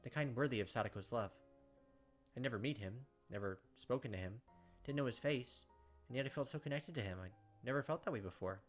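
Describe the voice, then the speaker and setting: A man's voice: mid-range voice, brisk. A man hosting a gameplay video, reading visual novel narration aloud and commenting casually. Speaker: gameplay host. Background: game music.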